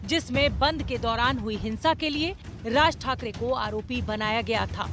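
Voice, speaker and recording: High-pitched woman reading news over music. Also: moderate pace. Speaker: news reporter. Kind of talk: scripted news narration. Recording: loud music bed behind voice-over.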